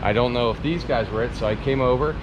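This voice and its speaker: A man hosts in a relaxed, conversational way as he walks through a racetrack paddock. His voice comes from a handheld camera mic. Clear outdoor noise sits behind him. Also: medium pitch, fast, dull audio. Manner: relaxed, conversational, often says "right". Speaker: host. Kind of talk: vlog walkthrough and interview.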